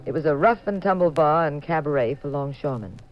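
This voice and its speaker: Wry, teasing wife in vintage mystery radio show, conversational, muffled period sound. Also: female voice, low-pitched, lively intonation, quick pace. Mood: wry, chatty. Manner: chatty, arch, teasing. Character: wry, playful detective's wife, young-to-middle-aged woman. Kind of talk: 1950s radio drama acting and narration. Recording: muffled vintage 1950s radio recording with music.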